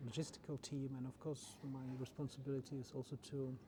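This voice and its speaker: A man who grew up in the Czech Republic, an orchestra's general manager, answering interview questions in a halting way with many repeated words. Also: medium pitch, flat delivery. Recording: noisy background.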